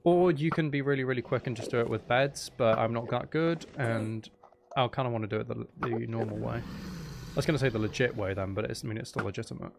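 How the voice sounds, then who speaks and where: A man doing live, casual video game commentary as a streamer, animated and given to exclaiming and playful complaints. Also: medium pitch, a little fast, creaky, background noise.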